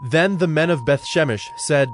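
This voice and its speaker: A man narrating scripture from a script in a measured, solemn storytelling manner. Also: a little high, soft background music.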